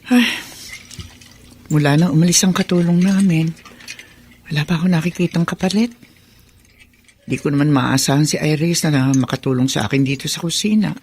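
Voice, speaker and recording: A woman's voice: deep voice, normal speed, pauses often, darkish tone, slight background noise. A woman playing a hardworking, indulgent mother, earnest and placating, in radio drama style. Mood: chatty, affectionate. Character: hardworking, patient, indulgent market-vendor mother. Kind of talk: Filipino radio drama acting.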